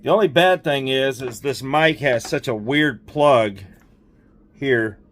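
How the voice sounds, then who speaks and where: A man with a medium-pitched voice hosts a hands-on repair video. He talks casually while he works, thinking aloud without hurry. His delivery is informal and explanatory, like a running demonstration. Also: slow pace, fairly bright tone, a little hiss or hum. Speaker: host of a radio repair vlog.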